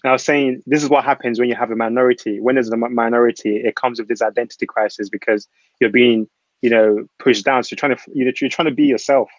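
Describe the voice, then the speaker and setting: A man who grew up in Ghana speaks at a rapid clip on a panel discussion, filling his points with earnest, rambling asides. He talks through a laptop webcam, and the sound is dry and muffled. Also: medium pitch, fairly even intonation, no room sound. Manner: earnest, rambling, many filler phrases. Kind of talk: panel discussion on a video call. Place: small room at home. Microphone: laptop webcam on a video call. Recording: muffled video-call audio.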